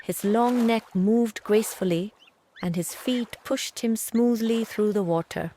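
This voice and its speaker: A woman's voice: medium pitch, unhurried, dark tone, slight background noise. Woman narrating a children's story.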